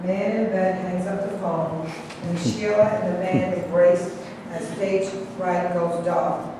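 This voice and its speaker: A woman's voice: lowish voice, fairly even intonation, slow pace. A woman reading scripted stage directions at a staged play reading. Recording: distant echoey hall with background noise.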